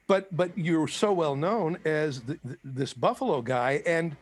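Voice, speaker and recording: A man with a fairly high-pitched voice hosts a relaxed interview. He asks friendly questions that prompt his guest to keep talking. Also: a little slow. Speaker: interview host. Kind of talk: conversational interview.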